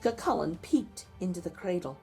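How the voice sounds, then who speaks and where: A woman's voice: lowish voice, animated, moderate pace, darkish tone, soft background music. A woman storytime host narrating a picture book expressively, with chatty asides to young listeners. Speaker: storytime host reading aloud to children.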